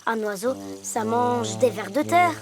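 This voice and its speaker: A young boy hippo in a children's cartoon, with a high, childlike voice. He is gentle, loyal and sensible, a little hesitant, and music plays loudly underneath. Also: lively intonation, unhurried. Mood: skeptical. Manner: childlike, matter-of-fact, hesitant.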